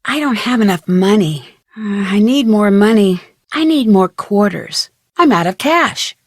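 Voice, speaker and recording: A woman's voice: mid-range voice, expressive, measured pace, dry recording, clean studio sound. A woman playing an inquisitive, polite teenage girl detective in an adventure game, with earnest scripted delivery. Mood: matter-of-fact.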